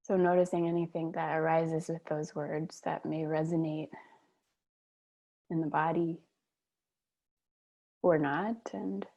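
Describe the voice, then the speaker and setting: A woman leading a guided meditation on a video call, speaking gently and unhurriedly with long pauses. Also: low voice, flat delivery, vocal fry, rough recording.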